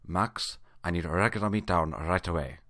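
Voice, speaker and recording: Man putting on soft-spoken manager, attempted Korean accent. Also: low-pitched, normal speed, no echo, clean studio sound. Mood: insistent. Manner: soft-spoken, hard to understand.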